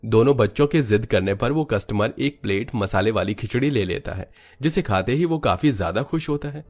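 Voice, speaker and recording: Low-voiced man narrating a story. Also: expressive, normal speed, a little mellow, soft background music. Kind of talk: scripted cartoon story narration.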